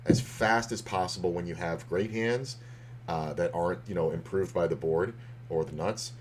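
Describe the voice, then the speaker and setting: Male streamer, deep and a bit hoarse, rambling casual poker commentary. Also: normal speed, slight background noise. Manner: rambling, loose, with many fillers and restarts. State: slightly hoarse.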